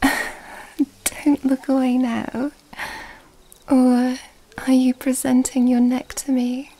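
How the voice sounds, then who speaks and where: A woman playing a seductive, mysterious female vampire in an ASMR roleplay, teasing and intimate in a soft, breathy whisper. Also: high voice, monotone, exaggerated voice. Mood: hungry, seductive. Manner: slow, intimate, teasing delivery.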